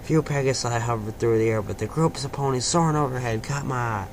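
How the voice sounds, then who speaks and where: A man's voice: medium pitch, fairly quick. Man reading fiction aloud, noisy recording. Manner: informal, stumbling over words. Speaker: fan fiction reader. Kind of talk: amateur story narration, breaking into asides. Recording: clearly noisy amateur home recording.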